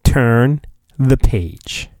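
A man hosting a podcast, giving a theatrical mock-dramatic reading of a storybook with drawn-out phrasing and goofy, rambling asides. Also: medium pitch, lively intonation, darkish tone, dry recording.

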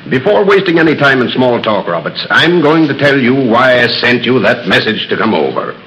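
An actor playing a loud, boastful rich father in a 1930s radio drama, talking in a folksy way. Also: medium pitch, sing-song, normal speed. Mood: blustering. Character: brash rich older American father, blustering. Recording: noisy old radio recording.

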